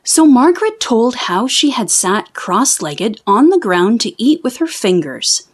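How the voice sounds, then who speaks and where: A woman reading a children's book aloud as an expressive storyteller. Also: fairly high pitch, measured pace, slightly rough. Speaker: storybook reader. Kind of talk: children's read-aloud narration.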